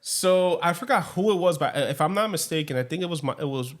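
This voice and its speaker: A young man co-hosting a casual podcast, switching into Spanish mid-sentence and laughing through his own stories. His voice sits high and swings widely as he talks. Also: fast. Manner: laughs often, slips into Spanish, animated storytelling. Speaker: podcast co-host. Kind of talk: casual chat.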